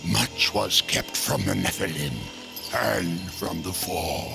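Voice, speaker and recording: A sly undead merchant from a fantasy video game, speaking slowly in a low voice that swoops and lingers. He is theatrical and knowing, with music playing strongly behind him. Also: vocal fry, heavily acted. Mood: knowing, measured. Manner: unhurried, theatrical, knowing, sighing and chuckling. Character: sly undead merchant, last of a burned race. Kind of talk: video game cutscene acting. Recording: loud music under the voice.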